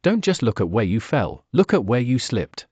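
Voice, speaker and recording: Man playing a kind, animated storybook mother. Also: medium pitch, normal speed, slightly rough, dry recording. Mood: kind, instructive. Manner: gentle, instructive. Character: kind, encouraging mother guiding her young daughter.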